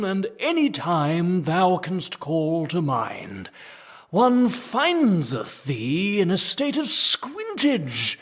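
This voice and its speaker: Pompous old priest for comic audio drama: high-pitched, very slow, declamatory. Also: male voice, fairly bright tone, heavily acted. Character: pompous elderly priest telling mock-biblical tales. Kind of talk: comic audio drama acting, archaic preaching.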